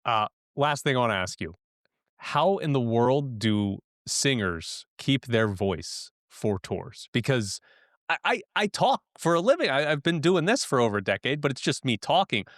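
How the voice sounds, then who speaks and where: A man's voice: medium pitch, moderate pace, dry recording. Casual male sports podcast host, dry sound. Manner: relaxed, conversational, plugs the show directly.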